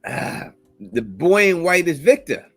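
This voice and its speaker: A man's voice: a little high, very animated, measured pace, breathy edge, no room sound. A man co-hosting a casual podcast, talking emphatically and repeating himself as he pushes his theories. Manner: emphatic, repetitive, insistent theorizing. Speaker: co-host breaking down a trailer.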